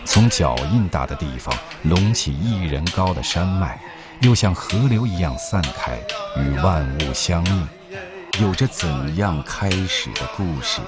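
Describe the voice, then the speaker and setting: A man narrating a documentary in a calm, measured scripted voice-over. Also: deep voice. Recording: voice-over under loud music.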